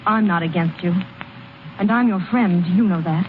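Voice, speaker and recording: A woman's voice: on the low side, moderate pace, lightly acted. Earnest sweetheart, played by a woman, tinny old radio. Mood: tender. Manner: earnest, gentle but insistent, plain-spoken. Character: loyal, tender, determined young woman, ballplayer's sweetheart. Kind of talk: old-time American radio drama acting. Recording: thin, noisy vintage radio broadcast recording.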